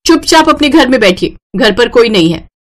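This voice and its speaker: A woman's voice: on the high side, very animated, moderate pace, voice pushed up. A woman voicing a rude, spoiled young city daughter-in-law in a story, pert and bossy. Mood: rude, impatient.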